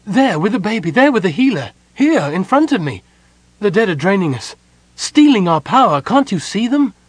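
A desperate priestess haunted by ghosts, acted by a man. She cries out urgently in a raised, loud, husky voice, as in a dramatised fantasy storybook. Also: high voice, sing-song, normal speed, no echo, pitched above the performer's own voice. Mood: panicked, desperate. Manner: crying out, urgent. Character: distraught priestess seeing ghosts, urgent and pleading. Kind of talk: dramatised audiobook fantasy character.

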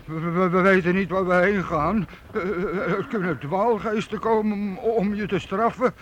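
An actor playing a simple, superstitious and servile gatekeeper in a radio play, talking haltingly with a stammer. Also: male voice, high-pitched, steady tone, a bit bright, slight background noise. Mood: timid, hesitant. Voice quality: stammering, hesitant. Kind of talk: radio drama acting.

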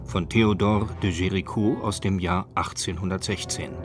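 Male feature narrator, lowish register, factual and measured delivery, faint music bed. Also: fairly even intonation. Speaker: documentary narrator. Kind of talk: scripted radio feature narration.

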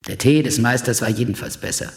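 An actor playing a devout, dotty old cult follower in a radio play. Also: male voice, mid-range voice, fairly quick, lightly acted. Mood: devout, dreamy. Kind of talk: German radio play acting.

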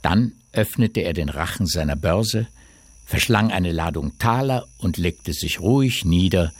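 Low-voiced guy narrating a radio play, really lively melody, dry sound. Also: unhurried, no echo. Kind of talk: literary radio drama narration.

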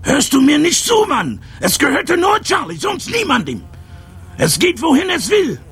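An actor playing a bitter old jazz trumpeter, broken and haunted, rambling and repeating himself in a German radio drama. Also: male voice, very high-pitched, huge pitch swings, normal speed, a bit bright, full character voice. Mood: agitated, indignant.

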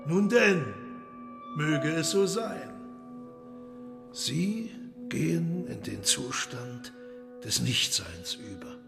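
An actor in a German radio play voicing a suave, courteous but menacing devil lord with a ceremonious, deliberate manner. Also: male voice, fairly high pitch, animated, gravelly, heavily acted. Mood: solemn, mock-gracious. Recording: long hall reverb under loud music.

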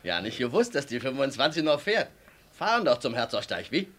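Bright, hearty gentleman passenger. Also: mid-range voice, big pitch swings, brisk, slight background noise. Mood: amused. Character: jovial, pompous male late-night tram passenger. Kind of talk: radio play acting.

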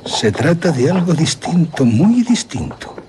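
Grave, embittered general, dramatically swooping. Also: male voice, on the high side, very animated, noisy background. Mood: dark, resolute. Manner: grave, theatrical, emphatic phrasing with dramatic pauses. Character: grieving, embittered general bent on destroying monsters. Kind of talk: radio drama acting.

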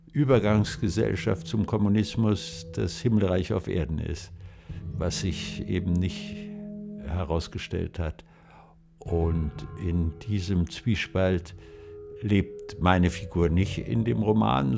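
An elderly male actor speaking reflectively and wryly in an interview, in a halting way. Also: deep voice, creaky, warm tone. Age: 70s or older. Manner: wry, halting. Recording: guitar music behind the voice. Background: guitar music.

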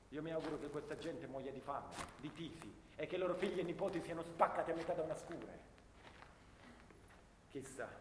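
An angry First World War infantryman with a high-pitched voice, in a radio play. He rants with bitter sarcasm and indignant rhetorical questions. The sound rings with room echo over faint noise. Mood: bitter fury. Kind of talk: theatrical radio play monologue. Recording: roomy, reverberant hall with faint noise.

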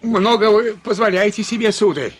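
An actor playing a timid, stammering, grumbling manservant in a classic radio play style. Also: male voice, high-pitched, moderate pace, a little hiss or hum, lightly acted. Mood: flustered.

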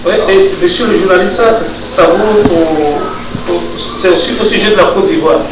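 A man from West Africa giving an informal talk to an audience, halting, with many restarts and fillers. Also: high-pitched. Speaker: speaker at a public discussion. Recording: distant mic in an echoey room with noise.

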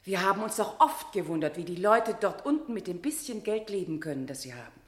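A woman's voice: fairly low pitch, animated, brisk, close to an everyday voice. An actress in a seventies radio play, playing an emotional mother who insists on claiming her lost son. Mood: chatty, insistent. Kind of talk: 1970s German radio play acting. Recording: echoey room.

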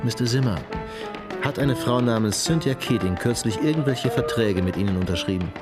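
An actor playing a dry, polite, sceptical police detective in a German radio play. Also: male voice, medium pitch, lively intonation, a little fast, over loud music, lightly acted. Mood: politely insistent.

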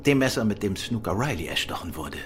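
An actor playing a shrewd, self-assured gentleman detective in a radio drama, with a polite, incisive manner. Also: on the low side, lively intonation, fast talker, background noise, natural voice. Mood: assured, businesslike.

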